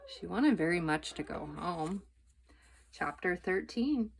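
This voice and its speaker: A woman's voice: on the low side, animated, slow, vocal fry. A woman narrating a children's book as a storytime reader.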